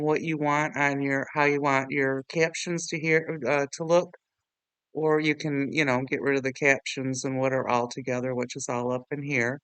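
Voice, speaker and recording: Bright, clear-voiced man giving a casual tutorial. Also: a little high, dry recording. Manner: informal, rambling, self-deprecating. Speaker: tutorial host. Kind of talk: casual screen-recording software walkthrough.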